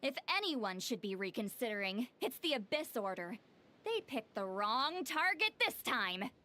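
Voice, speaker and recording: High-pitched, haughty young warrior girl. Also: sing-song, moderate pace, pushed and bright. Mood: smug. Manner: haughty, boastful. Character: proud, brash young female warrior, easily vexed. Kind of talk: anime-style video game voice acting. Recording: game music behind the voice. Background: video game music.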